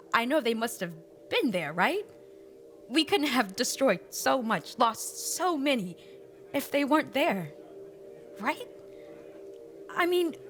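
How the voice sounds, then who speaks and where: An actress playing a weary mother who survived a disaster, telling her story haltingly and reflectively in a radio drama interview. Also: high-pitched, a little hiss or hum, exaggerated voice. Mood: uncertain, insistent. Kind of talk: documentary-style radio drama monologue.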